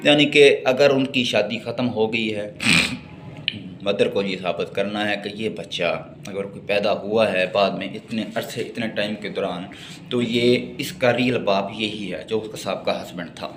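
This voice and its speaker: A man with a Pakistani accent giving a didactic law lecture, reading out and explaining the material. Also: fairly low pitch. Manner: didactic, switching between slowly read English and explanation in Urdu. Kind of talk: lecture, reading legal text aloud and explaining it. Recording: echoey room with faint background noise.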